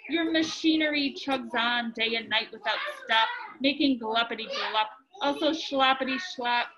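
A woman's voice: high voice, a little slow. A woman voicing a small, grumpy male forest creature who scolds in rhyme, reading from a storybook. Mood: angry. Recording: muffled with background noise.

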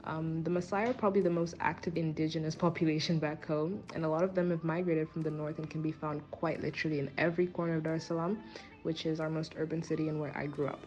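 A woman from Tanzania giving a relaxed, conversational prepared talk as a podcast guest, with occasional ums. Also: low-pitched, fast, muffled recording, faint music behind.

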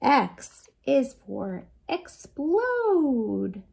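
An American woman in her forties hosting a read-aloud storytime for young children, in an animated, sing-song way with playful sound effects. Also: high voice, very slow, vocal fry, husky. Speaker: children's librarian, storytime host. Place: small lamp-lit room at home.

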